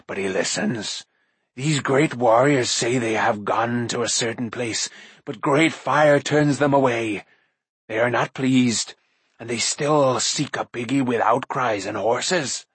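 A grovelling, pitiful half-beast creature, put on by a male narrator whines and wheedles for food. The voice is slightly husky and close, with no room sound. It is a storybook creature with a sly, self-pitying streak. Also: medium pitch, dry recording.

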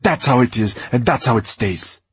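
A man's voice: medium pitch, lively intonation, normal speed, breathy edge, dry recording, voice pushed up. A man voicing a fierce grieving woman who speaks angrily and abruptly. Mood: angry. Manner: angry, abrupt.

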